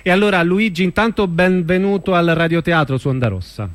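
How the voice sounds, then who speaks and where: A man's voice: high voice, a little fast, dry recording. A man hosting a radio show, interviewing a guest in a conversational way.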